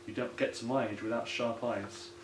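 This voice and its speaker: A man's voice: mid-range voice, steady tone, normal speed, close to an everyday voice. A man voicing a kindly old grandad who earnestly tells tall tales in a children's picture book. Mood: knowing. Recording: roomy echo, some background noise.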